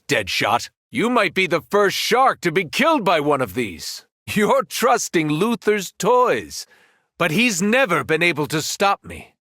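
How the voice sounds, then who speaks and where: An actor playing a powerful, cruel superhero turned villain in a video game, arrogant and taunting. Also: male voice, a little high, sharp tone, no echo, studio quality, heavily acted. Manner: taunting, menacing, condescending.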